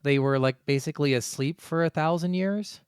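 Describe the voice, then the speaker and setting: A man's voice: on the high side, fairly quick, clean tone, no room sound, studio quality. A man hosting a podcast, giving blunt, profane opinions in a casual gaming review chat. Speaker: podcast host.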